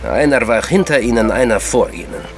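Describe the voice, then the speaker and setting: An actor playing a gruff, commanding agent in a crime audio drama, brusque, dryly sardonic and scolding. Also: male voice, medium pitch, sing-song, brisk, music in the background. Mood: exasperated, scolding.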